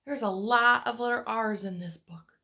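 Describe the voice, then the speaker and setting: A woman's voice: fairly high pitch, moderate pace, pushed and bright. A woman reading a picture book aloud to small children as a teacher would, stretching words for dramatic emphasis and adding asides to her listeners.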